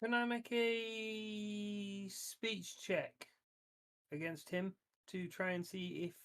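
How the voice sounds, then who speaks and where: A man's voice: sits high, level tone, takes it slowly, fairly bright tone, clear, resonant tone. A man talking bluntly and insistently as a player in a tabletop roleplaying game.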